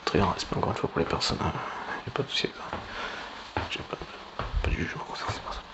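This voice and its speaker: A man giving a rambling, informal political monologue in a halting, sardonic way, with slang and swearing. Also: in a whisper. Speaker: online political commentator. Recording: clearly noisy background.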